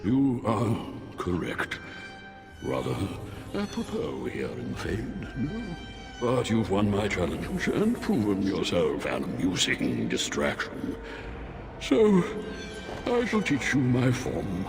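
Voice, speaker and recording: Fantasy game sloth demon: weary, condescending, slow, with wildly swooping pitch. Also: male voice, huge pitch swings, full character voice. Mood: languid, bored. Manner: languid, weary, condescending, drawn-out delivery. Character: bored, languid demon of sloth in beast form. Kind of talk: fantasy video game voice acting. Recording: long reverb, music underneath.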